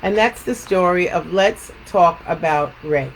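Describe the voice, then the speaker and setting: Deliberate woman reading aloud, some background noise. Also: on the low side, rough recording. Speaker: educator reading a picture book aloud. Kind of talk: read-aloud storytime, then reflective talk.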